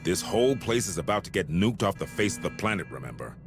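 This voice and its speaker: A blunt, wry soldier in a sci-fi game. The gruff, gravelly voice swings widely in pitch and sits very low, with music clearly behind it. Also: male voice, sing-song, fast talker. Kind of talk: dramatic video game acting.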